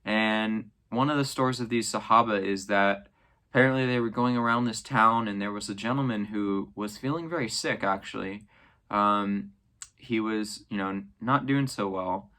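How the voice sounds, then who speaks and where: A man's voice: fairly low pitch, normal speed, sharp tone. A young man casually explaining a religious text, with many fillers and tag questions. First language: English. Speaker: channel host explaining scripture. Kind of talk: casual explanatory talk to camera.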